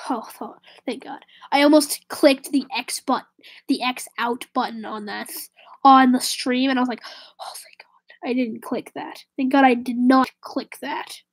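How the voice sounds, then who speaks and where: A woman live streaming and chatting casually with viewers, slightly raspy with a stuffy nose from a cold, rambling and correcting herself. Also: high voice, fairly even intonation, a little slow. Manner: rambling, self-correcting, drifts to a whisper.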